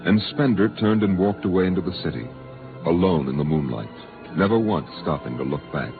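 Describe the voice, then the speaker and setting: A man with an extremely low voice narrates a vintage radio drama. His delivery is solemn and deliberate. Music plays clearly behind him, and the recording is thin and tinny. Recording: tinny band-limited old radio recording.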